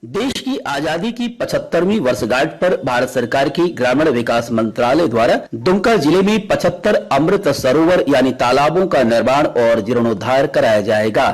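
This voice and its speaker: Slightly husky male news correspondent. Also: mid-range voice, expressive, moderate pace, few pauses, rough recording. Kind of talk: scripted field report.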